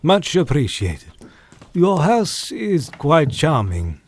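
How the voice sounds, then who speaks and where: A man playing a grave, courteous scholarly doctor in an audio drama, speaking formally with measured politeness. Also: fairly high pitch, huge pitch swings, exaggerated voice.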